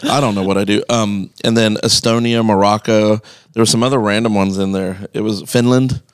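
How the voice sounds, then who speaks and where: A man's voice: lowish voice, fairly even intonation, moderate pace, clear, resonant tone, no echo. A man from the UK co-hosting a podcast, chatting upbeat and often laughing. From United Kingdom. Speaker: podcast co-host. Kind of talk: casual conversation.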